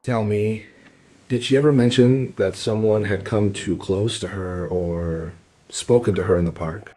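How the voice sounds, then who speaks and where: An actor playing a dry, probing detective inspector in a crime radio play, speaking in a measured, questioning way. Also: male voice, on the low side, steady tone, mellow. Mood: polite, sober.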